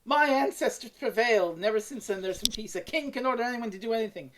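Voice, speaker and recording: A woman's voice: a little high, fast talker, background noise, voice pushed up, lightly acted. Woman playing a pompous, insistent young king. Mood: insistent, self-justifying. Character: self-righteous young male king defending himself.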